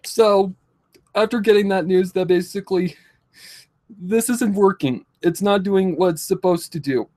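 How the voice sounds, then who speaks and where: A man of twenty-two speaking emotionally to his followers in a halting, repetitive, pleading way, distressed and close to tears, with slightly affected speech. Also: sits high, warm tone. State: distressed, tearful. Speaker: vlogger. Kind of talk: emotional confessional talk to followers.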